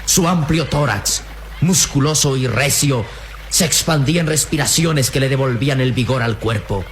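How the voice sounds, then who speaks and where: A man's voice: mid-range voice, moderate pace, a little hiss or hum, natural voice. Man as emphatic adventure radio-drama narrator. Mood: epic, descriptive. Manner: grave, emphatic, florid storytelling delivery. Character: solemn male adventure storyteller.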